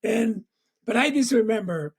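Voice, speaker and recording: A middle-aged man from Tonga telling his life story as an interview guest, reflective and warm, with a constant "you know.". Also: sits high, lively intonation, unhurried, breathy edge.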